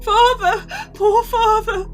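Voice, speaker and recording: An actress playing an earnest, emotional young gentlewoman, a devoted daughter, in a gothic audio drama. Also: very high-pitched, slow pace, soft background music. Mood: distraught. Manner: earnest, expressive.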